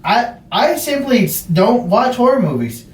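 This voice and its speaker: A man's voice: very high-pitched, sing-song, unhurried, reverberant, far from the mic. A man who is a podcast guest, chatting casually and asking questions. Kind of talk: casual chat.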